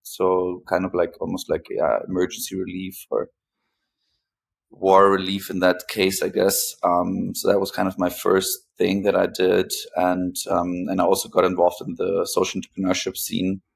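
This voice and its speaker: Deep, gravelly man speaking hesitantly. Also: completely flat delivery, slight background noise. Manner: hesitant, pausing often between phrases. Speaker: podcast guest, philanthropist. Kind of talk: interview conversation, recounting own experience.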